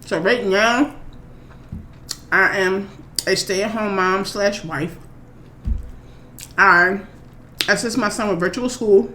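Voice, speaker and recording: A woman's voice: medium pitch, takes it slowly, long pauses. A woman in her thirties from Maryland, chatting casually and rambling through viewer questions on her eating show. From Maryland, USA. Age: 30s. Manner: rambling, chatty, relaxed, with many fillers. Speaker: mukbang vlogger. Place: home. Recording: echoey room, faint background noise.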